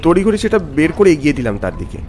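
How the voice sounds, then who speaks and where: A man playing a polite, jittery young office worker who narrates a horror story in an expressive, suspenseful way. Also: fairly high pitch, quick pace. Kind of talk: dramatic scripted first-person horror narration with dialogue. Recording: music bed under voice.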